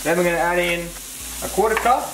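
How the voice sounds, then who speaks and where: A man's voice: high-pitched, fairly quick, background noise. A young man co-hosting a home cooking video, giving casual step-by-step recipe instructions with playful banter. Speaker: cooking show co-host. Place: home kitchen. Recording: echoey kitchen with background music.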